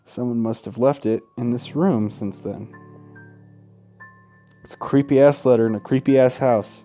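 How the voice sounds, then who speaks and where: A man doing gaming commentary, reading a visual novel aloud with casual, sweary asides and an expressive, dramatic delivery. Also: mid-range voice, a little slow, faint music behind. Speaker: let's play host. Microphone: close gaming microphone.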